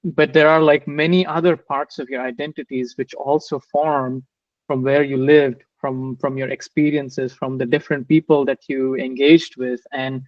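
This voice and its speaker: A man with an Indian accent speaks in a dry, slightly dull recording. His pitch stays fairly even as he gives thoughtful interview answers, often pausing and repeating words. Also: dry recording. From Bangalore, southern India. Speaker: interview guest, engineering manager.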